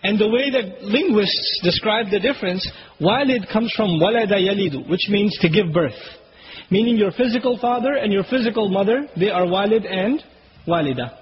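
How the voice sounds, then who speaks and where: A man whose first language is Urdu, giving an Islamic lecture. He explains Arabic words slowly and recites Quranic verses quickly. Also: expressive. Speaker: Islamic preacher. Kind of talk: religious lecture. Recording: dull low-fidelity recording with faint hiss.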